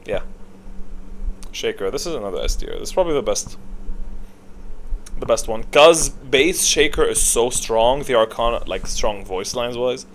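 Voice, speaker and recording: A man's voice: medium pitch, moderate pace, long pauses. A man giving casual, off-the-cuff gaming commentary as a video creator, blunt and opinionated in slangy wording. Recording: noticeable background noise.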